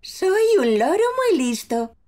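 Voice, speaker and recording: A woman's voice: sits high, sing-song, takes it slowly, mellow, heavily acted. A woman voicing a kindly, doting old grandmother pig in an exclamatory cartoon dub. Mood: doting, cheerful.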